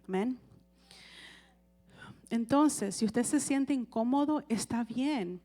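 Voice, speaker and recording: A woman's voice: mid-range voice, mellow. A woman preaching a sermon in a conversational way, often checking with the congregation and asking for amens.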